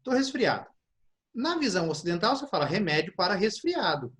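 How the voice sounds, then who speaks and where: Animated male acupuncture teacher. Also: high-pitched, fairly quick. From Paraná, Brazil. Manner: animated, acts out patients' complaints. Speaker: guest professor of acupuncture. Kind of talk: explanatory teaching talk.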